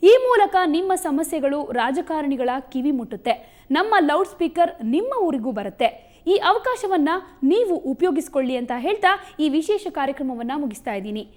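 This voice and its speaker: A woman news presenter speaks in a high voice. Her delivery is scripted and addressed to viewers, with very lively pitch movement. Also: fairly quick.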